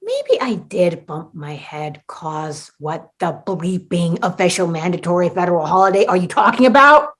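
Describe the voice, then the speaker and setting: A woman's voice: fairly low pitch, sing-song, normal speed, hardly any pauses, fairly bright tone. A woman playing a bewildered, exasperated sitcom newcomer in a comedic radio play, sarcastic and dramatically indignant. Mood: baffled, skeptical.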